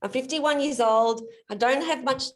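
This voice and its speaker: A woman's voice: sits high, normal speed. A woman coaching a guest through his limiting beliefs on a video call, in a friendly, explanatory way. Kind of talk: live coaching demonstration in an online session.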